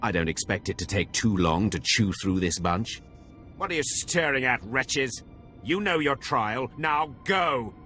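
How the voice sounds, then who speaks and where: Sneering, cruel slave overseer. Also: male voice, mid-range voice, very animated, unhurried, bright, forceful tone, faint music behind. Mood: scornful, barking. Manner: sneering, scornful. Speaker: Sith academy overseer character. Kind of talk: video game cutscene acting.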